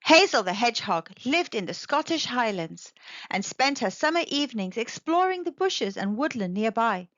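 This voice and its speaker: A woman narrates a children's story in an expressive read-aloud style. Her voice sits fairly high and swoops with lively intonation. The recording is dry and slightly dull. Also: moderate pace, no room sound.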